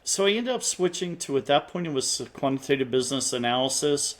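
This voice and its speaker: A man's voice: mid-range voice, lively intonation, a little fast. A middle-aged man from Pennsylvania with a Philadelphia accent, talking casually as a podcast guest and rambling with restarts. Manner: rambling, with frequent restarts and fillers. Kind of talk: casual interview conversation.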